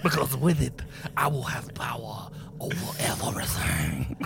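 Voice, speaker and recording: Man playing a posh, gravelly, pompous British villain. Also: mid-range voice, very animated, a little slow, background noise. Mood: menacing. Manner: pompous, florid, menacing delivery. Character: posh, evil, pompous male villain, bullying and theatrical.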